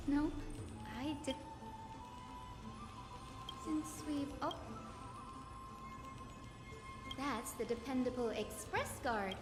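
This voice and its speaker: An actress playing a gentle, earnest young woman in a video game, with a polite and sweet manner. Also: high-pitched, takes it slowly. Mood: bright, gentle. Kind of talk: dramatic video game voice acting. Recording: echoey reverb with music behind.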